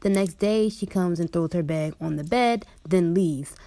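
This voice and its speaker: A woman's voice: lowish voice, unhurried. A young American woman telling a casual first-person storytime. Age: young adult. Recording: loud background noise.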